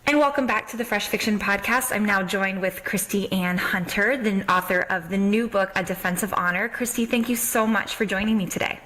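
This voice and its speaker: A woman hosting a podcast in a casual, effusive interview chat, quick to exclaim. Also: medium pitch, sharp tone, poor audio. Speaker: podcast host.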